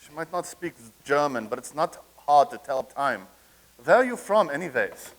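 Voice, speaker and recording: An actor plays a blunt young man who mocks the others. His voice sits a little high for a man. He is curt and dismissive. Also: sing-song, moderate pace, poor audio. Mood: mocking. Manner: blunt, mocking. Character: blunt mocking young man loyal to a dictator. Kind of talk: staged play reading.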